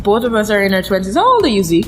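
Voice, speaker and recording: A woman's voice: medium pitch, big pitch swings, racing, a little hiss or hum. A woman in her twenties co-hosting a podcast in casual chat. She laughs often and rambles playfully. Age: 20s. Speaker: podcast co-host.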